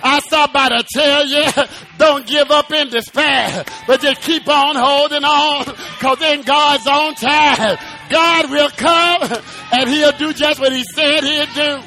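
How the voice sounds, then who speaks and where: Male preacher, very high and bright, sung-shouted rhythmic preaching, repeated call-outs to the congregation. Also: fairly even intonation, takes it slowly, faint music behind, rough recording. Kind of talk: sermon. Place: church.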